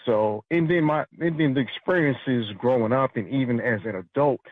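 A man from New York City calling into a radio show, speaking in a measured, matter-of-fact way. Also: mid-range voice, dry recording. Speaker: caller, retired federal officer. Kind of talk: phone-in commentary. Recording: tinny phone line.

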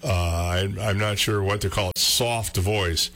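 A man with a deep baritone voice who works in radio, chatting casually and thinking aloud in a relaxed, self-deprecating way. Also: unhurried, soft background music. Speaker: radio host. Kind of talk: casual conversation.

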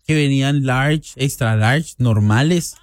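A man's voice: mid-range voice, takes it slowly. A man with a Colombian accent hosting a casual live radio chat, chatty and informal with plenty of slang. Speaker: radio host.

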